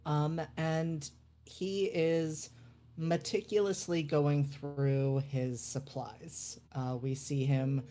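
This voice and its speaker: A player introducing and describing their character in a deliberate, detailed way. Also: medium pitch, little pitch movement, mellow.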